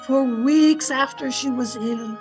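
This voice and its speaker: A woman playing a devoted servant who tells the story in a radio drama, measured and dutiful. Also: high voice, darkish tone, faint music behind. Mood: somber storytelling. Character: Ellen, devoted old female servant telling a story.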